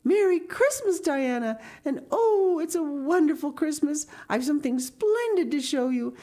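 Woman as a high-pitched, gushing excitable young girl. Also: a little slow. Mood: excited. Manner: gushing, breathless exclamations, dramatic. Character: excitable, rapturous, earnest young orphan girl.

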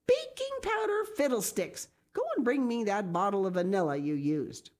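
A woman voicing a stern, exasperated older woman guardian, shrill and scolding. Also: very animated, normal speed, a little mellow, lightly acted.

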